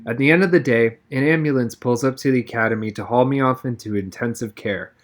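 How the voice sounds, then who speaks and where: A man reading a novel aloud as an expressive narrator, with wry first-person storytelling. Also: medium pitch, fast. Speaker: reader, narrator. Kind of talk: expressive audiobook-style read-aloud of a novel.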